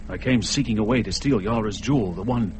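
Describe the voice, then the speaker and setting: An actor playing a fearless young barbarian warrior in a vintage radio drama, speaking bluntly. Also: male voice, fairly low pitch, a little fast, noisy background, lightly acted. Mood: bold. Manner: blunt, bold, bursts into alarmed shouts.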